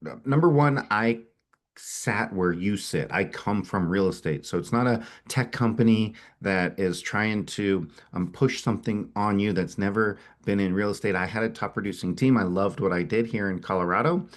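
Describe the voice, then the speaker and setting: A middle-aged man with a head cold and a low voice presents at a webinar. He talks with energy and often doubles back on his words. Now and then he sniffles. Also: normal speed. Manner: energetic, repeats words as he restarts. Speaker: company founder presenting a webinar.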